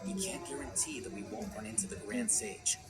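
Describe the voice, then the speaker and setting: Aloof young game scholar male actor: erudite, deadpan, precise, dryly superior, heavy music bed. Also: medium pitch, fairly quick, close to an everyday voice. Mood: cool, matter-of-fact. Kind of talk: anime video game voice acting. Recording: game playback under loud music.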